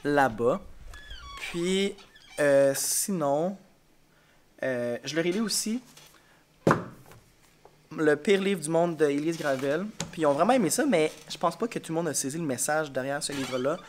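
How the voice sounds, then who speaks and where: A man's voice: on the high side, lively intonation, measured pace, long pauses, noisy background. A male schoolteacher with a Quebec French accent, congested, chatting casually to camera in a rambling, tired and frank way. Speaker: elementary school teacher. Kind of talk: casual vlog talk to camera. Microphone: camera mic.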